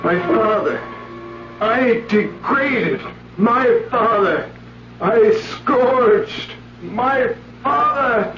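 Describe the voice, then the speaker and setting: An actor playing a vain, flirtatious film star with a theatrical delivery in a 1970s radio drama. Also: male voice, very high voice, animated, drawn-out words, echoey room, full character voice. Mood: anguished declaiming. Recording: muffled 1970s radio broadcast with music.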